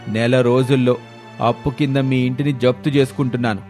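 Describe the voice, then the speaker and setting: A man's voice: medium pitch, steady tone, a little fast, a little mellow, close to an everyday voice. Male actor as village moneylender, stern, grasping, storybook drama, faint music. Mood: threatening. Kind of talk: dramatised moral story voice acting.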